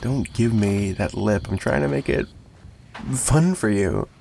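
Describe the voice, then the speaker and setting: A man playing a smug, dominant mafia boss kidnapper with a gravelly low voice, teasing and menacing, in an ASMR roleplay style. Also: animated, a little slow, mellow, soft background music. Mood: mocking, teasing.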